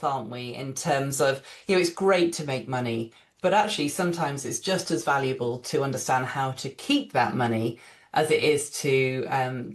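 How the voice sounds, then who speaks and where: A woman hosting a podcast interview, asking friendly, chatty, rambling questions. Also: deep voice. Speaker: podcast host. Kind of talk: conversational interview.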